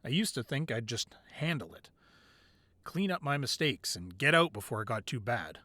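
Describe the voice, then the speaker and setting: A man playing a weary but devoted, protective crime boss boyfriend in an intimate ASMR roleplay, speaking softly and close, at times breathy. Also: mid-range voice, sing-song, normal speed, no echo. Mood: regretful, weary. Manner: soft, intimate, drifting into breathy whispers.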